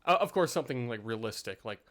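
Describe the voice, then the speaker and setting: A man's voice: medium pitch, animated, fast talker, fairly bright tone. A young man vlogging in a casual, rambling way, full of fillers and self-deprecating asides.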